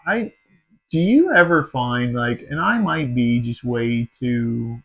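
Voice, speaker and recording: A man's voice: mid-range voice, slow, highs cut off. A man in his forties, hosting a podcast, telling stories in casual chat with lots of swearing and "dude". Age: 40s. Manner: swearing, animated storytelling, says dude a lot. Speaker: podcast host.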